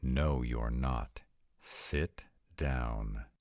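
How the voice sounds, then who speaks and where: A man playing a haughty, commanding vampire in a roleplay audio drama, speaking in a formal, measured and imperious way. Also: very deep voice, darkish tone, no echo, clean studio sound, full character voice. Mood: stern, commanding.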